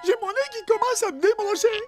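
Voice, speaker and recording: A woman's voice: extremely high pitch, brisk. A woman voicing a young, cheeky, slangy animal in a French cartoon. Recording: music behind the voice.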